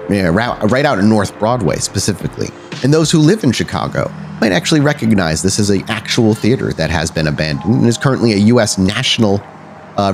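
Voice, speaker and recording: A man's voice: low voice, slight background noise. A man narrating a tabletop roleplaying game as the game master. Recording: music behind the voice.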